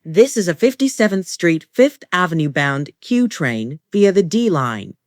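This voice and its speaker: A woman with a fairly low voice reads scripted transit announcements as an automated train announcer. Her pitch moves in a lively way across measured set phrases. The sound is dry, with little room echo. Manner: formulaic, measured delivery of short set phrases.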